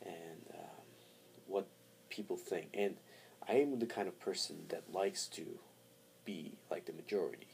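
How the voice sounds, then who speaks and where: A man talking casually to camera as a vlogger, halting as he thinks aloud. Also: sits low, gravelly, a little mellow, a little hiss or hum, poor audio. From born in Montreal, Canada, raised in Armenia from four to seventeen. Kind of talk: casual personal talk to camera.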